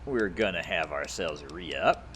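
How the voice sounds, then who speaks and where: A man's voice: sits low, lively intonation, a little slow, noisy background. A man giving casual gameplay commentary as host of a gaming video, musing aloud as he plays. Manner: muses aloud while playing.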